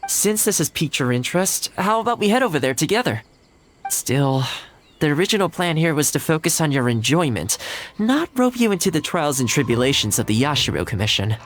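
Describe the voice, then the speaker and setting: A man's voice: a little high, sing-song, fairly quick, a bit bright. A man playing a friendly, patient young retainer in a video game, speaking in an easygoing, conciliatory way. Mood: easygoing, helpful. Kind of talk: video game character acting.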